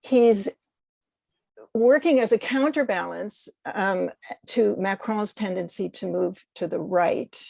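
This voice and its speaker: Gravelly, measured woman. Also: mid-range voice, a little mellow, no echo. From United States. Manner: measured, deliberate, carefully worded. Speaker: interview guest, poet. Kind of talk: considered political commentary in conversation.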